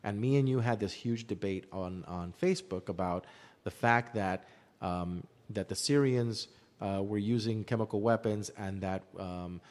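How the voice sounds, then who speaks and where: Low-voiced man in a long, echoing reverb. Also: moderate pace, a little mellow. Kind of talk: opinionated discussion, explaining at length.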